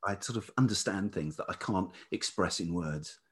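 A man speaking as an interview guest in reflective, casual conversation, halting thoughtfully as he searches for words. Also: low-pitched, slightly rough. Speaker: interview guest, writer and musician.

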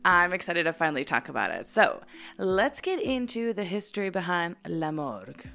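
A woman's voice: on the low side, very animated, moderate pace, creaky, sharp tone, faint music behind. A woman co-hosting a history podcast, chatting casually with a friend in a bubbly, giggly way. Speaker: podcast co-host.

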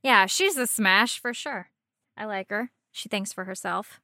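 Bright-voiced, playful woman podcaster. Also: mid-range voice, unhurried, dry recording, clean studio sound. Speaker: podcast co-host. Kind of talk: casual, playful banter about video game characters.

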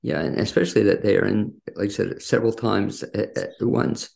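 A man's voice: lowish voice, fairly quick, gravelly, a little mellow. A man hosting a casual interview, asking wordy, rambling questions in a conversational way. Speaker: interviewer, show host.

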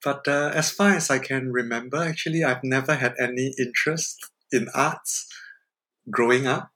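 A man's voice: mid-range voice, normal speed, bright, forceful tone. A man from Singapore, an artist guest in a casual interview, speaking thoughtfully and hesitantly with many fillers.

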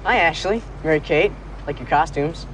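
A man's voice: a little high, moderate pace, a bit bright, lightly acted. A young actor playing a cool, dismissive teenage boy in a TV sitcom, with noise behind him. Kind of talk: sitcom acting. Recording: noisy TV sitcom set.

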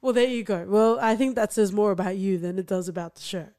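A woman's voice: mid-range voice, quick pace, mellow. A young woman co-hosting a podcast, chatting casually about a TV show, laughing often and using lots of fillers. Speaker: podcast co-host.

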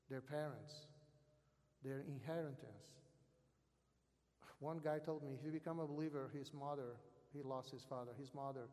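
A man with a South American accent, a non-native English speaker, preaching as a guest missionary in a halting way, repeating phrases for emphasis. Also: medium pitch, a little mellow. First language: not English. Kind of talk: sermon. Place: large hall or church, speaking to an audience. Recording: long hall reverb over PA system.

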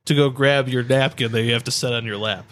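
A man's voice: mid-range voice, steady tone, fast, a bit bright. A man co-hosting a podcast, bantering casually in a joking, slangy way. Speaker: podcast co-host.

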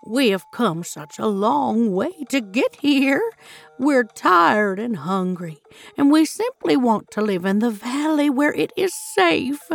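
A woman voicing an old, kindly and determined grandmother goat with an earnest, measured manner in a children's story. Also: on the high side, expressive, vocal fry, pitched above the performer's own voice, exaggerated voice. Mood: pleading.

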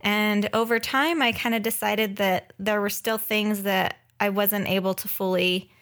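A woman in her thirties co-hosts a podcast in a casual, conversational way. Her voice sits at a middle pitch. She talks informally about herself, with a joking, self-deprecating manner. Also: steady tone, unhurried, a bit bright. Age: 30s. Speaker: podcast co-host and graphic designer. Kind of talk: casual chat introducing herself.

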